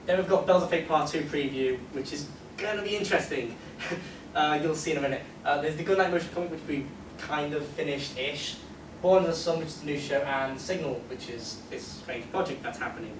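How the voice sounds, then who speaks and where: A man's voice: on the high side, a little fast. A man giving a casual convention panel presentation in an informal, self-deprecating way. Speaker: panellist, website developer. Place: convention panel room indoors. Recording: echoey, distant, noisy room.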